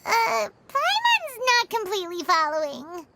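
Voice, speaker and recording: A woman voicing a small, childlike fairy companion in a game, squeaky, naive and excitable. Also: unhurried, vocal fry, very noisy, exaggerated voice. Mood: curious, confused. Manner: refers to self in third person, exclamatory.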